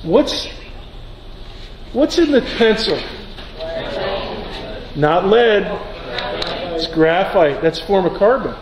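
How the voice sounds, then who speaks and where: Guy science teacher doing a casual demo, pretty high voice, short instructions, echoey room. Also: sing-song, slow, pauses often, a crowd in the background. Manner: informal, giving short instructions. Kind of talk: informal classroom demonstration. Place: large lecture auditorium. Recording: echoey lecture hall, some background noise.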